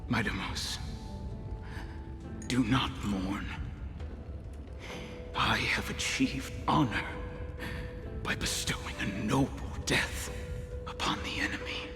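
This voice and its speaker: An actor voicing a dying noble warrior in a video game, proud and consoling, formal and halting, with long reverb on the voice. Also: male voice, lowish voice, over music. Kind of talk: dramatic game voice acting.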